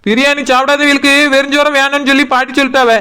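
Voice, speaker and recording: A woman acts out an overworked young cartoon cook with a high, bright voice. She whines and pleads at a rapid pace, with a colloquial Kanyakumari Tamil accent. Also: little pitch movement. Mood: explaining, defensive. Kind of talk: comic cartoon dialogue.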